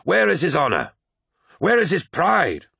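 A man's voice: fairly high pitch, huge pitch swings, unhurried, creaky, bright, forceful tone, slightly rough, no room sound, clean studio sound, pitched above the performer's own voice, exaggerated voice. Man acting a raging giant warrior captain, voice raised. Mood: raging, bitter. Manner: agitated, snapping, growling. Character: huge, hot-tempered warrior captain, anguished and raging. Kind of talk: dramatic science-fiction audiobook dialogue.

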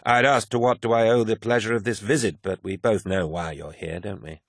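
A man voicing a defiant male poet, sardonic and deliberate as he answers back. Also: lowish voice, fairly even intonation, no room sound, clean studio sound. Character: defiant, bitter male poet, nervous but sharp-tongued.

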